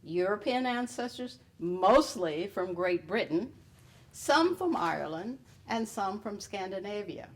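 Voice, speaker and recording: A middle-aged woman reading her personal essay aloud in a wry storytelling manner with pointed pauses. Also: mid-range voice, expressive. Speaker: writer reading own piece.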